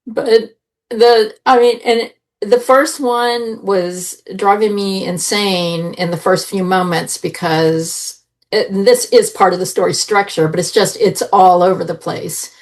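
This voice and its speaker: A woman's voice: mid-range voice, steady tone, takes it slowly. A woman giving her opinions as a panelist in a casual review chat. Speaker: podcast panelist reviewing audio drama.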